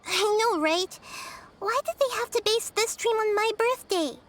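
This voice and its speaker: A woman voicing a small, girlish young goddess who explains things gently and patiently in a video game. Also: very high-pitched, slight background noise. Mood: troubled. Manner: measured, explanatory. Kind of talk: video game character acting.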